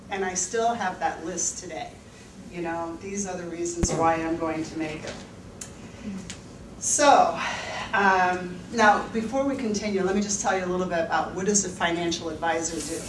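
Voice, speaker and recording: Woman in her fifties, from the Netherlands, lowish voice, candid storytelling, heard from afar in a roomy hall with background noise. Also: normal speed. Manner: candid, laughs often, many fillers. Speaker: financial advisor, speaker. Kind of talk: personal story talk to an audience. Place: university event room. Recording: echoey room, mic at a distance, background noise.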